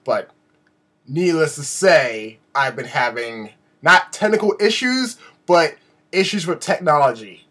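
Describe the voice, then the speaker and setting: Male vlogger, fairly high voice with a slight sore-throat rasp; animated, rambling, self-deprecating, casual delivery to camera. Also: measured pace. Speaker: video blogger. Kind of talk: casual update vlog to camera.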